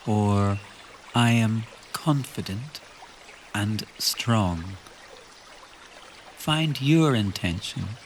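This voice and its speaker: A man leading a guided relaxation meditation, giving calm instructions in short phrases with long pauses between them. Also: on the low side, slight background noise.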